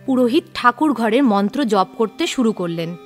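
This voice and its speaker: A woman's voice: fairly high pitch, lively intonation, moderate pace, faint music behind. A woman narrating a scripted horror story.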